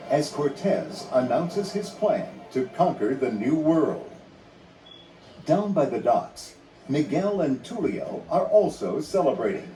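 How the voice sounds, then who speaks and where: A man reading a children's read-along story in a dramatic, scripted storytelling manner. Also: medium pitch. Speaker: narrator. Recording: roomy, distant sound under music.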